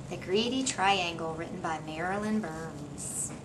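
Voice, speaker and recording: A woman's voice: fairly low pitch, measured pace. A middle-aged woman reads a storybook aloud to a young child as a teacher, encouraging and prompting with questions. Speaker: teacher reading aloud to a kindergartener. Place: small indoor classroom reading corner. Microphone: camera a few meters away. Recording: noisy room, distant camera microphone.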